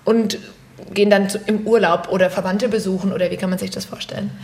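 A woman's voice: medium pitch, steady tone, quick pace, darkish tone. A woman hosting an interview, curious and asking lots of follow-up questions. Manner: curious, asks follow-ups, frequent mhm. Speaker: interview host.

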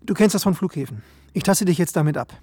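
An actress playing a blunt, sceptical investigator in a radio thriller, brusque and challenging. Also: female voice, fairly low pitch, animated, fast talker, background noise, natural voice. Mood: brisk, matter-of-fact. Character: blunt, sceptical, practical member of fugitive team.

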